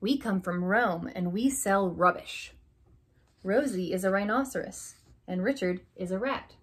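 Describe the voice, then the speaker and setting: A young woman reading a children's alphabet picture book aloud, slow and deliberate, stressing each opening letter, in a small room. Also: medium pitch, slightly rough. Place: small room indoors by a curtained window.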